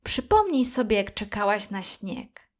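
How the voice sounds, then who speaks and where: A woman voicing a gentle, patient mother who explains things soothingly in a children's fairy tale. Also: mid-range voice, very animated, measured pace.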